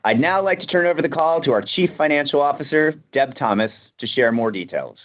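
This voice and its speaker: A man who is a company executive reading a scripted earnings presentation in a formal, measured way. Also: fairly high pitch, animated. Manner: formal, measured business delivery. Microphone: conference call line. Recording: muffled conference call audio.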